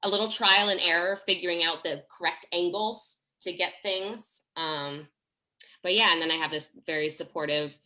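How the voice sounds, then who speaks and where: Bright, forceful lower-voiced woman chatting. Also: moderate pace, low-quality recording. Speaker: theatre director and fight choreographer. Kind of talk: video call panel discussion. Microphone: video call.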